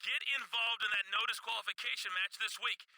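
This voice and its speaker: A woman's voice: mid-range voice, fairly even intonation, brisk, pushed and bright, no room sound, low-quality recording. A woman playing a coaxing, goading backstage wrestling figure in a video game cutscene. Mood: conspiratorial.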